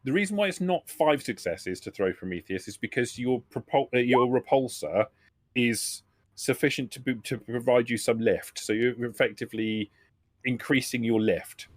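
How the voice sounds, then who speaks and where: A man with a mid-pitched voice serves as game master at a tabletop session. He improvises scenes and explains rules, recorded close and dry. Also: normal speed, no room sound.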